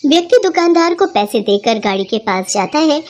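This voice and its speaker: A woman's voice: high-pitched, expressive, moderate pace, a little mellow, dull audio. A woman narrating a scripted children's moral story as the storyteller.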